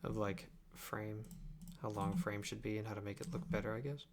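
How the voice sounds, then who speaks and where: A man's voice: lowish voice, fairly even intonation, moderate pace. A young man chatting casually and offhandedly on a livestream while he edits video at his computer, sometimes muttering to himself. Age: young adult. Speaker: live streamer and video editor. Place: indoors at a home computer desk. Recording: clicks and keyboard noise behind the voice. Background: mouse clicks, typing.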